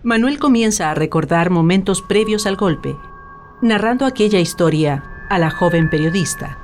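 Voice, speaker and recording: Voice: mid-range voice, animated, normal speed, noisy background. A narrator reading scripted radio drama narration, with music behind the voice.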